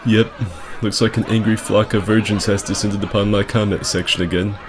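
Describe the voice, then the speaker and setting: A man with a fairly low voice hosts gaming commentary in a casual, comedic register. His delivery is crude and sarcastic, full of exaggeration. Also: flat delivery, a little fast, background noise. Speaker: gaming video host.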